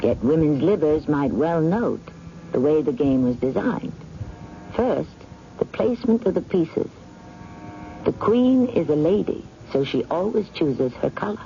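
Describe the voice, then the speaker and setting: Male radio host, animated intonation, droll theatrical narration, dull vintage broadcast, music behind. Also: on the high side, measured pace, vocal fry, slight background noise. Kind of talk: scripted narration, 1970s radio.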